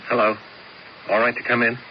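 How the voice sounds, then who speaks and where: An actor playing an insecure, hot-tempered young husband in a radio drama, boyish, informal and halting. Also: male voice, mid-range voice, flat delivery, close to an everyday voice. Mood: insistent, sulky. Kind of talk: old-time radio drama acting. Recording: muffled 1970s radio broadcast, faint noise.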